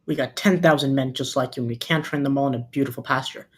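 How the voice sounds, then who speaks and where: A male actor plays a gruff army sergeant in a film table read. He is commanding and bullying, barking at conscripts in a mid-pitched voice. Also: quick pace, natural voice. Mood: stern, commanding.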